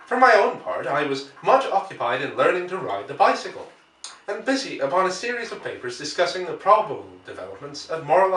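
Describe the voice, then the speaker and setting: A man narrating a classic novel as an audiobook in a measured storytelling manner. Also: mid-range voice, pushed and bright. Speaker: audiobook reader. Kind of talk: scripted literary narration. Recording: echoey room, distant from the mic.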